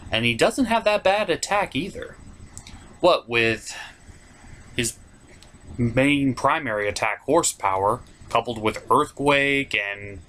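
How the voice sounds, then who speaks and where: A man's voice: medium pitch, fairly bright tone. A man hosting a top 10 countdown, giving opinionated video game commentary in an informal, emphatic way. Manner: informal, emphatic, with mild swearing.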